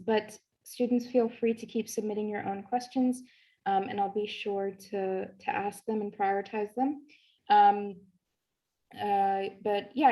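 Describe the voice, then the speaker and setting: A woman's voice: medium pitch, dark tone, low-quality recording. A young woman moderating an online panel, hosting and putting questions to panelists.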